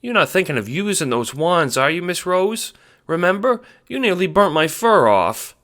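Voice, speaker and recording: A man's voice: high-pitched, sing-song, normal speed, a bit bright, pitched above the performer's own voice. A man voicing a timid, anxious and helpful cat sprite who hesitates and stammers, in an audio drama. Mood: flustered, hesitant.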